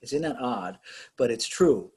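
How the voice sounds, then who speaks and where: A man's voice: medium pitch, lively intonation, a little slow, a little mellow, husky. A man hosting a podcast, talking in a loose, rambling way with frequent laughs. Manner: rambling, laughs often, many fillers. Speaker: podcast host. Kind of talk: casual video-call interview.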